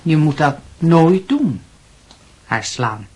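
An actress playing a caring middle-aged aunt in a radio drama, hesitant and gently admonishing, with a Netherlands Dutch accent. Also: female voice, low voice, big pitch swings, slow, breathy edge, full character voice. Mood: concerned, admonishing.

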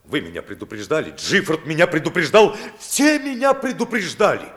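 An actor playing an ardent, self-reproaching idealistic politician in a theatrical radio play, moving between hushed and declamatory delivery. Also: male voice, mid-range voice. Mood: bitter, self-reproaching. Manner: dramatic, swinging from hushed to declamatory. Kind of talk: theatrical Russian radio drama acting.

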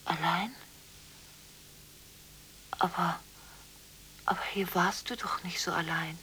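An actress in a radio drama playing an emotionally torn daughter on the phone, halting and often near a whisper, on a hissy recording. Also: female voice, on the low side, pushed and bright, low-quality recording. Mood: tender, hushed. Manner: halting, stammering, pleading, breaking into whispers. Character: distraught, emotionally torn daughter on a late-night phone call. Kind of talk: 1980s German radio drama acting.

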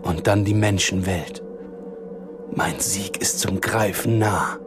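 An actor playing a gloating, sinister ruler of demons in a radio drama, speaking in a slow, grandiose, menacing manner. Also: male voice, sits low, fairly even intonation, music in the background. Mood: gloating menace.

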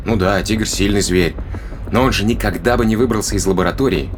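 Actor as quick-talking, wry spaceship crewman. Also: lowish voice, a little hiss or hum, lightly acted. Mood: wry, explanatory. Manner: colloquial, explanatory, wry. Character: brisk, reasoning male spaceship crewman, sardonic. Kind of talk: audio drama acting.

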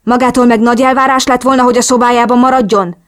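A man's voice: very high voice, flat delivery, voice pushed up. Woman voicing a furious, accusing man. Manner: angry, accusatory shouting. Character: hot-tempered hostile man, threatening and blaming.

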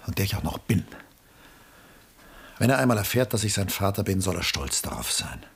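An actor playing an embittered, lonely middle-aged artist in a mid-century German radio play, melancholy and brooding, with a husky voice and hushed drops. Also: male voice, deep voice, very animated, brisk, exaggerated voice. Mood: earnest, brooding. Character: Julian, embittered aging artist, lonely, self-pitying father.

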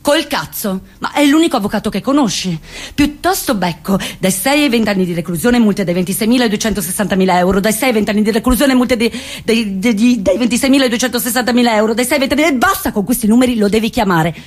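A woman performing a theatrical first-person comic monologue, telling her story in an expressive, dramatic way. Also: a little high, fast. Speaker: stage actress performing a monologue.

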